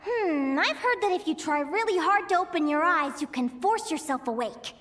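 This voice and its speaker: Very high, girlish excitable young adventurer. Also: normal speed. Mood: frustrated. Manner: exclamatory, expressive, girlish. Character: Linnea, excitable sweet young woman adventurer. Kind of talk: video game voice acting. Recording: music behind the voice.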